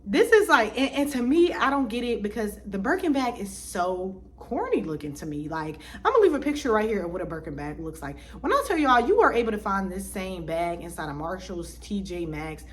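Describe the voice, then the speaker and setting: A young woman talks straight to her viewers in a casual vlog. Her voice sits at a middle pitch for a woman, and her speech is loose and chatty, full of fillers and restarts as she works through her thoughts. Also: big pitch swings, brisk, few pauses. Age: 20s. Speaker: vlogger.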